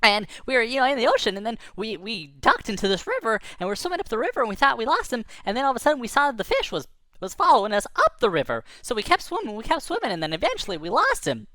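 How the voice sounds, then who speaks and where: Woman putting on a squeaky, excitable tiny anchovy. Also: fast talker, no echo. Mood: anxious. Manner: breathless, stammering, repeating themselves.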